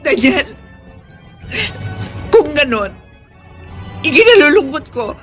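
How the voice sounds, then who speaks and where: High-pitched, anguished wife. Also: female voice, very animated, soft background music, heavily acted. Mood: sad, resolute. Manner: emotional, tearful, drawn-out delivery. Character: anguished, pleading young wife and mother of principle. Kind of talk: Filipino radio drama acting.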